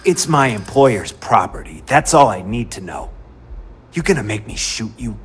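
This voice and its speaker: An actor playing a tough, threatening corporate enforcer in a video game, terse and commanding, with a rough husky voice. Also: male voice, fairly low pitch, big pitch swings, normal speed, exaggerated voice. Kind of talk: video game dialogue acting.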